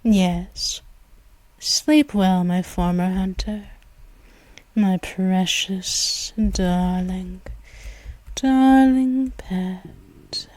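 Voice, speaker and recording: Woman voicing a slow, purring vampire mistress. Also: medium pitch, dry recording. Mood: soothing. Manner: soft, hushed and drawn-out, often whispering; commanding and purring. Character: dominant predatory female vampire, commanding and possessive. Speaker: ASMR roleplay performer. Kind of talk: ASMR roleplay. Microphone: close mic, intimate.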